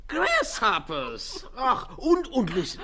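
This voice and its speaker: A performer playing a stern, gruff older male farmer with a German accent and a low, husky voice, grumbling and flustered in a radio play. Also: huge pitch swings, slow, bright, forceful tone, a little hiss or hum, heavily acted. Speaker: farmer Moses.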